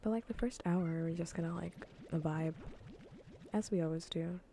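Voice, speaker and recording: Female ASMR game streamer: soft, mellow, gravelly fry, whisper-prone, relaxed rambling delivery on a close mic. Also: on the low side, normal speed, a little hiss or hum. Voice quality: soft, breathy, often drifting into whispers. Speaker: livestreamer hosting a cozy gaming stream. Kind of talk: casual ASMR gaming stream chat. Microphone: close ASMR microphone.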